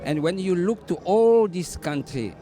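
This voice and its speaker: Earnest Congolese-accented reverend, music behind. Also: male voice, sits high, expressive, dark tone. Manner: earnest, halting with many fillers and restarts. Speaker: reverend, event organizer. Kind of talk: interview answers. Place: hotel dinner event.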